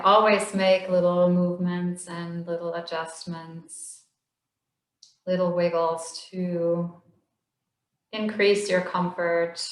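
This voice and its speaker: A woman slowly leading a guided body scan meditation in a gentle, soothing voice with long pauses. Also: fairly low pitch, reverberant, low-quality recording. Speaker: meditation instructor.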